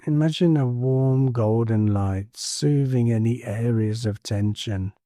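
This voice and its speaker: Slow, mellow man guiding meditation. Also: medium pitch, no echo, studio quality. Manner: calm, soothing, unhurried with spaced phrases. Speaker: meditation teacher. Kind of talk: guided body scan meditation.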